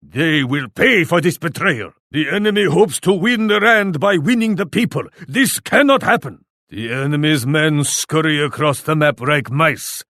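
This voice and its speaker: An actor playing an arrogant, ruthless warlord in a video game, speaking in a stern, imperious and formal manner. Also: male voice, a little high, lively intonation, a little slow, fairly bright tone, dry recording, studio quality. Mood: alarmed, urgent. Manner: imperious, stern, formal, refers to himself in third person. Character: arrogant, ruthless, scheming warlord who scorns his allies. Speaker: computer player in a strategy game. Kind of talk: scripted video game voice lines.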